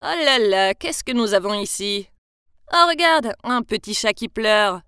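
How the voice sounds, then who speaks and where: A woman's voice: on the high side, normal speed, no room sound, clean studio sound, close to an everyday voice. A woman voicing a taunting young male street thug who speaks in a mocking, menacing way.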